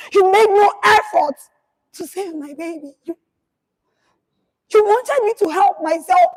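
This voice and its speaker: A woman acting out a grieving woman lawyer who has turned vengeful after her baby was killed. The voice is very high and the delivery is slow and deliberate. She swings between tearful shouting and defiant vows in a stage monologue. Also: poor audio. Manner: impassioned, shouting through tears, defiant. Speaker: monologue performer. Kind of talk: dramatic monologue.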